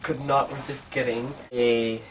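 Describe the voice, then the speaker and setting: A man's voice: mid-range voice, little pitch movement, measured pace, a little hiss or hum. A man with a cold and a blocked, nasal voice, chatting casually through a book haul vlog. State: sick, blocked nose. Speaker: book vlogger. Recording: distant dull camera mic.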